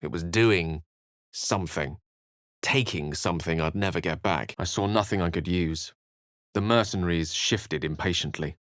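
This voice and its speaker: An urbane British wizard from a fantasy video game, pitched low and recorded very dry and close. He is eloquent and courteous, with a wry, bookish manner and theatrical turns of phrase. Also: moderate pace, a bit bright, no room sound. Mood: measured storytelling. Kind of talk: video game character voice acting.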